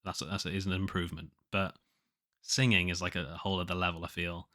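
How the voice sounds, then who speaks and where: A man with a low but nasal voice, chatting casually on his own as if keeping an audio diary, rambling and hesitant as he thinks aloud. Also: level tone, a little fast, sharp tone. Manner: hesitant, rambling, self-questioning. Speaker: podcast host, musician.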